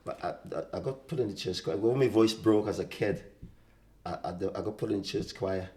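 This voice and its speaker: A man's voice: on the low side, normal speed, mellow. A man, chatting casually as an interview guest and telling anecdotes, often repeating himself. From West Indies. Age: 60s. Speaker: podcast guest, singer-songwriter.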